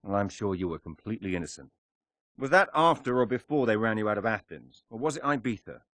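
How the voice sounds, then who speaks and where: A tough male secret agent in a video game cutscene. He is self-assured and dryly clipped, with a fairly low voice recorded close and without room sound. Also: expressive, fast talker, a bit bright, no echo. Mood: cool, dry.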